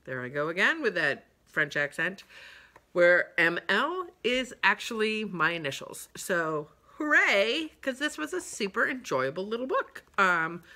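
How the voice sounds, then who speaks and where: A woman's voice: mid-range voice, sharp tone. A woman in her fifties chatting casually about books she read, rambling with lots of ums and uhs, recorded on a webcam in her living room. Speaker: book vlogger. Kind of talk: casual book review chat. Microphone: webcam at desk distance.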